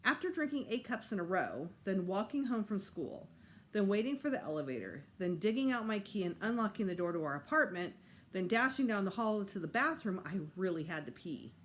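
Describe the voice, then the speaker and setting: Female storyteller, teacher reading to children. Highly animated intonation, medium pitch, emphatic and expressive. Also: brisk, slight background noise. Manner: animated, acts out dialogue with emphasis. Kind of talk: expressive read-aloud storytelling.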